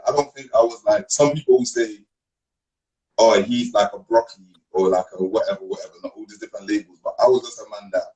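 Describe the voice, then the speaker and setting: A man from Cameroon, a guest on a video call interview, telling his story in relaxed street slang. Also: fairly low pitch, rough recording. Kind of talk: casual interview.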